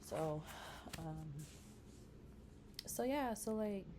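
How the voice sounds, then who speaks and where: A woman's voice: mid-range voice, lively intonation, extremely slow, background noise. A woman in her thirties talking casually to camera in a vlog, chatty and rambling with lots of fillers, encouraging her viewers. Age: 30s. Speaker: vlogger.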